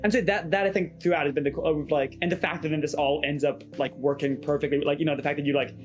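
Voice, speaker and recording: A man chatting casually in a group, haltingly circling back over his thought as he forms it. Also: fairly high pitch, steady tone. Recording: background music under the voice.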